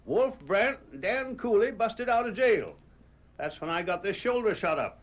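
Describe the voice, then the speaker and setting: A folksy old Western deputy with a high voice and an American frontier accent. He talks plainly and emphatically, in old radio western style. The recording is muffled and vintage. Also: very animated, normal speed. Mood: folksy, talkative. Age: older. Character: folksy, plain-spoken old former sheriff turned deputy. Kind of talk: 1940s radio western acting.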